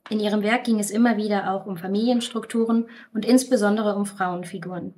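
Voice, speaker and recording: A woman, presenting a literature podcast in a measured, scripted reading style. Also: medium pitch, fairly even intonation, darkish tone, a little hiss or hum. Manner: measured, reads quoted passages aloud.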